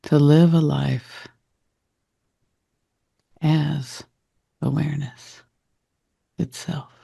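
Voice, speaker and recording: A man leads a guided meditation in a very dark, mellow voice with a gravelly rasp. He speaks slowly and gently, setting out short reflective phrases with care. The tone is soft and husky, sometimes sinking near a whisper. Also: fairly high pitch, fairly even intonation, no room sound.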